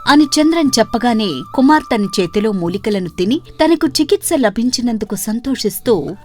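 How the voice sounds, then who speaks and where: A woman narrates a scripted moral tale in an expressive way. Her voice sits a little high and swings widely in pitch, with music playing behind her. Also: normal speed. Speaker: storyteller narrator. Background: background music.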